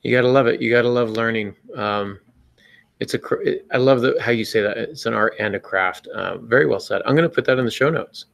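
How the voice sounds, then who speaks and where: A man's voice: mid-range voice, fairly quick, creaky, fairly bright tone. A man hosting a podcast with casual, joking chat, enthusiastic and somewhat rambling. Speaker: podcast host.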